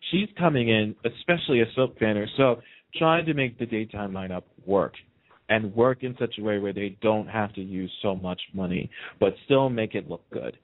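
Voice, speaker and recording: A low-pitched man comes through a narrow, phone-like line. He hosts a casual talk show, giving his opinions emphatically and repeating phrases to drive them home. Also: moderate pace. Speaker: radio show host. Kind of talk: casual opinionated soap opera chat.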